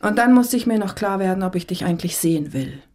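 An actress playing a cheeky, blunt cleaning woman in a radio drama, with a brisk, breezy manner. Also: mid-range voice, animated, darkish tone, long reverb tail, lightly acted.

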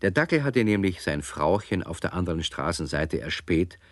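An actor playing a lively storyteller, narrating a scripted children's radio play to young listeners. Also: male voice, low voice, normal speed, no room sound, clean studio sound. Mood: amused storytelling.

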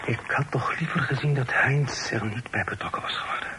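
An actor playing a proper, guarded young gentleman with a formal, earnest manner in a Dutch radio play. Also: mid-range voice, fairly quick, natural voice. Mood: fretful. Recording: noisy old radio play recording.

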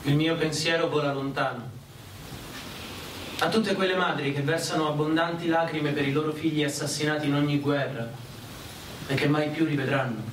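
A man's voice: fairly high pitch, steady tone, moderate pace, a bit bright. A man performing a literary monologue in an earnest, declamatory theatrical manner. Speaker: performer reciting. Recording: echoey, distant, faintly noisy pickup.

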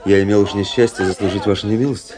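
An actor playing a cool, courtly young officer in a radio play, speaking with measured, polished politeness. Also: male voice, lowish voice, fairly even intonation, a crowd in the background, lightly acted. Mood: suave, courteous. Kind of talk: radio play narration and dialogue.

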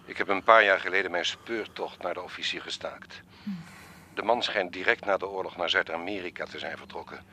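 Deep-voiced elderly gentleman collector. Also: steady tone, slight background noise. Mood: wistful. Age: 70s or older. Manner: formal, measured, reflective. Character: elderly, courteous, wistful male art collector. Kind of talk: radio drama dialogue.

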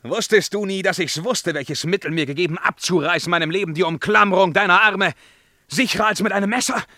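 An actor playing a tormented young emperor in a verse radio drama, declamatory, moving between hushed dread and outcry. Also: male voice, high voice, fairly quick, no echo, clean studio sound. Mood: defiant. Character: tormented young emperor breaking free of his bewitching lover.

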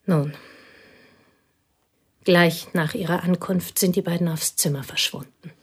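An actress playing a bitter, lonely woman confiding old betrayals in a hushed, intense voice. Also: fairly low pitch, steady tone, measured pace, husky. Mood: bitter confession. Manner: hushed, intense, often whispering. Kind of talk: radio play acting.

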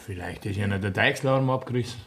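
An actor with a Bavarian accent playing a dutiful farmhand in a radio play, speaking in a placating way. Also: male voice, lowish voice, fast, fairly bright tone, breathy edge. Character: earnest young farmhand, dutiful. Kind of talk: 1960s radio play acting.

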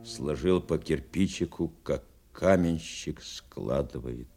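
An actor playing a sombre airman who recalls a wartime flight in grave, measured dramatic narration. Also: rumbling low, warm tone, a little hiss or hum, full character voice. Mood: solemn. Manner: measured, grave storytelling in short phrases.

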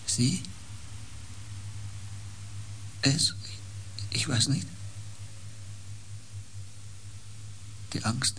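An actor playing a haunted, fearful man on a space expedition in a radio play, speaking with brooding, breathy intensity. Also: medium pitch, a bit bright, slight background noise, poor audio. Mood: lost, bewildered. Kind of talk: German science fiction radio play acting.

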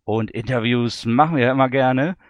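A man's voice: mid-range voice, moderate pace, no room sound. A man hosting a casual radio interview. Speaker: radio show host interviewing a guest.

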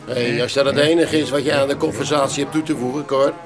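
A man's voice: medium pitch, steady tone, fast talker, lightly acted. Man as a firm Dutch pub landlord over music. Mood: stern. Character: younger male pub landlord, plain-spoken and firm. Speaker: actor in a radio play. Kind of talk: Dutch radio drama acting.

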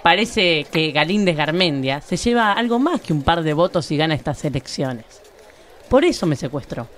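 A woman's voice: low voice, very animated, normal speed, a little hiss or hum. An actress playing a feisty, outspoken small-town woman who narrates a radio drama in colloquial, emphatic Argentine Spanish. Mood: defiant.

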